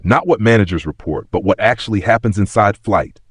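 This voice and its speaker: A man voicing a calm, stern, quietly menacing airline CEO in an audio story, measured and firm. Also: fairly low pitch, husky, dry recording, clean studio sound. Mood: calm, probing.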